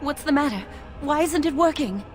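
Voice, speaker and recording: A woman playing an earnest, anxious young chantry woman in a fantasy video game, with a measured, solemn delivery. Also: sits high, lively intonation, soft background music. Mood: distressed.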